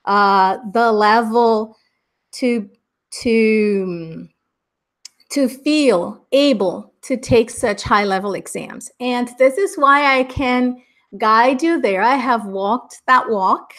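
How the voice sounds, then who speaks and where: Middle-aged Brazilian woman, Americanized accent, English teacher on a live class, upbeat and unhurried, a touch high. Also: fairly bright tone. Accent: Brazilian Portuguese, Americanized English. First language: Portuguese. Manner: upbeat, chatty, laughs often.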